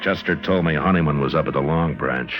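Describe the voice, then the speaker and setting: An actor playing a weary, stern frontier marshal in an old radio western, American accent, terse and dry. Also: male voice, very deep voice, fairly quick. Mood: grim, reflective. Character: weary, stern male frontier marshal, narrating his own story. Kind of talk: old-time radio western acting and first-person narration. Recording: dull old radio recording with music.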